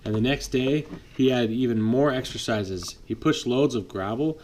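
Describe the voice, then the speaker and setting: A man reading a picture book aloud to his child at bedtime, lively, pausing to chat with the child. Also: medium pitch, slight background noise, poor audio. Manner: lively read-aloud, voices for the trucks, chats with the child. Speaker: father reading a bedtime story.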